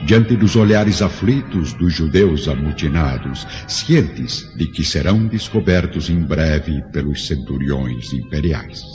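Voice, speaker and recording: The solemn storyteller of an ancient tragedy in a radio play. His very deep voice tells it gravely and with literary measure. Music plays clearly behind the slightly dull recording. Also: slow pace, few pauses, darkish tone. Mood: grave, dramatic. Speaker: narrator. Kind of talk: scripted dramatic narration for a radio play.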